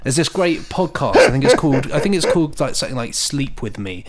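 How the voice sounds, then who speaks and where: A man's voice: mid-range voice, very animated, fairly quick, over a noisy crowd. A British man co-hosting a podcast with casual, whimsical banter that wanders off on tangents. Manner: jokey, whimsical, digressive. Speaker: podcast host. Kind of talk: casual comic banter and news reading.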